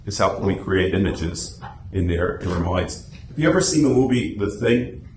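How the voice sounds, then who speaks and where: Echoey, lower-pitched man telling anecdotes. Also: lively intonation, normal speed, darkish tone. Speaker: guest speaker, radio drama society officer. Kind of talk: informal club presentation and discussion. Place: club meeting room. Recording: echoey room, faint background noise.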